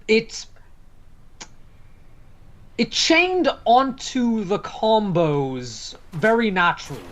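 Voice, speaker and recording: Rambling man with a lively voice. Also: high-pitched, very slow. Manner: rambling, self-correcting, frequent "I guess". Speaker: let's-play host. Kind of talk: casual co-op gameplay chat.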